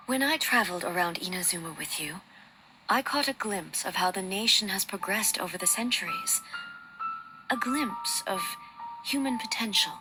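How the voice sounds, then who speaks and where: Regal female warrior god, bright forceful tone, formal and grave, measured, game dialogue over music. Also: mid-range voice, low-quality recording. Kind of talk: video game voice acting. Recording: music behind the voice.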